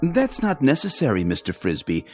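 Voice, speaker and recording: Radio drama: mysterious, courteous stranger, formal manner, hugely animated intonation. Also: male voice, medium pitch, faint music behind. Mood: smooth, courteous. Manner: polite, measured, formal. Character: courteous, odd stranger, a man quietly sizing people up.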